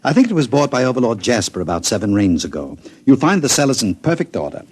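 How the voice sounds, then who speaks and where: Courteous, husky-voiced overlord. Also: male voice, mid-range voice, big pitch swings, fast. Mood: genial, resigned. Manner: polite, hospitable, hesitant. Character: genial, courteous doomed male overlord, calmly resigned. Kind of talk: 1950s radio drama acting.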